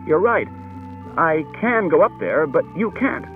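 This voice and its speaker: A man voicing a tense, flustered detective who speaks in a nervous, halting way. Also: a little high, very animated, pitched above the performer's own voice. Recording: dull lo-fi with music behind.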